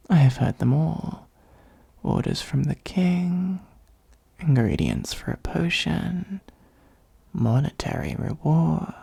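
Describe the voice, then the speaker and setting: A performer in an ASMR roleplay voicing an ancient, wry, self-serving dragon who gives advice in a breathy, husky half-whisper with measured, formal phrasing. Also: mid-range voice, dark tone, no echo, heavily acted. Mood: sly, curious.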